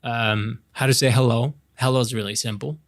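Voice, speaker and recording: A man's voice: medium pitch, fairly even intonation, lightly acted. A French Canadian man, a native French speaker, playing a friendly, playful young man who casually tutors his childhood friend in an intimate romance ASMR roleplay. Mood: relaxed, instructive. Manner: halting, encouraging, often chuckling, drawn-out demonstrations. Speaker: voice actor in a romantic audio roleplay.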